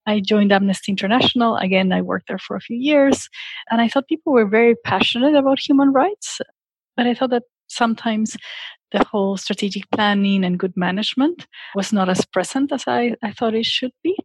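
Female interview guest, middle-aged, from Peru, slightly rough-edged voice, reflective answers, very dry recording. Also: a little high, fairly quick, no room sound, rough recording. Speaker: interview guest, philanthropy expert.

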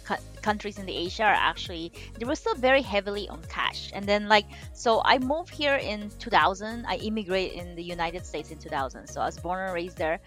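A woman's voice: medium pitch, a little fast, few pauses, soft background music. A woman from the Philippines, a financial coach chatting casually as an interview guest and often restarting her phrases. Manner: animated, chatty, frequent restarts and "you know".

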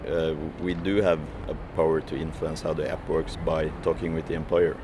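A man's voice: a bass voice, level tone, moderate pace, background noise. A man who works as a food courier, answering interview questions hesitantly with many fillers and restarts.

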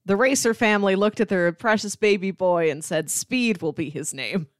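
A woman's voice: mid-range voice, moderate pace, no echo, clean studio sound. A woman co-hosting a podcast, casually discussing and summarizing a film. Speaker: podcast co-host.

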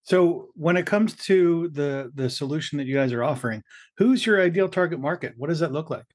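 Higher-pitched male podcast host. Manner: measured, hedging questions with "um" and "uh". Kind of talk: interview questions.

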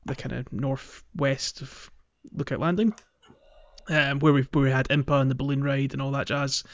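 A man's voice: mid-range voice, level tone, normal speed, no room sound. Man, dull lo-fi recording. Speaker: podcast host. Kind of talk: casual game playthrough chat.

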